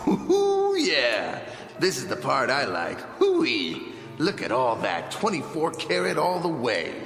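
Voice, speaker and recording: A man playing a folksy, easygoing, gold-loving robber in a radio drama, with drawn-out, exclamatory speech. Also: medium pitch, wildly swooping pitch, a bit bright, full character voice. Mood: greedy, gleeful. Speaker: actor in audio drama. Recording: clear music underneath.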